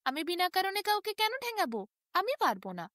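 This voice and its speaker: A woman's voice: very high voice, big pitch swings, brisk, fairly bright tone, no echo, studio quality, pitched above the performer's own voice, full character voice. A woman voicing an indignant, reluctant talking stick in a storybook tale, protesting and sing-song. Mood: indignant refusal. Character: indignant walking stick, reluctant to hurt anyone.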